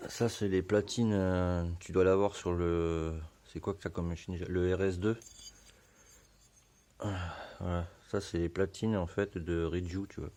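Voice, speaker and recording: Hoarse guy, really deep voice, chatty and slangy, lots of ums, talking you through some bike work. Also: a little slow, slight background noise. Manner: relaxed, slangy, many fillers. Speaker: motorbike hobbyist. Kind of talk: casual how-to explanation.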